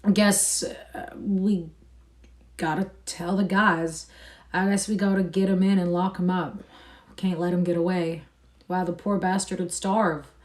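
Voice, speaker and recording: A woman's voice: steady tone, unhurried, lightly acted. A woman voicing a weary, resigned ranch worker. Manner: plain rural speech, low-key.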